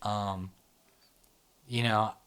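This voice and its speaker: A man's voice: fairly low pitch, little pitch movement, extremely slow, a bit bright, clear, resonant tone. A middle-aged man hosting a casual talk, explaining at length with many fillers like "right?" and "you know". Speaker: show founder and host.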